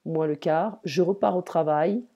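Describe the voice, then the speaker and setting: A woman's voice: low-pitched, measured pace, breathy edge, dull audio. A woman teaching French in an online lesson, moving between French and English and explaining patiently.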